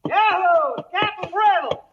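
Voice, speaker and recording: An actor playing an eager, respectful cavalry sergeant with a folksy, deferential way of talking in a 1950s radio drama. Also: male voice, very high voice, takes it slowly, recorded from a distance, narrow, tinny audio, slight background noise. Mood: hollering, excited.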